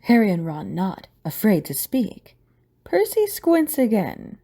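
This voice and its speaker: A woman narrator tells a scripted audio play. Her voice is mellow and warm, with a very animated rise and fall. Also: mid-range voice, takes it slowly.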